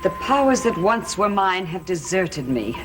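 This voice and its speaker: A woman's voice: fairly low pitch, very animated, unhurried, poor audio. An actress playing a fearful, wary psychic woman in a gothic television soap, speaking in a grave, dramatic manner. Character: fearful, wary psychic woman who lost her powers. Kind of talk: 1970s gothic TV soap opera acting. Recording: old TV soundtrack with music underneath.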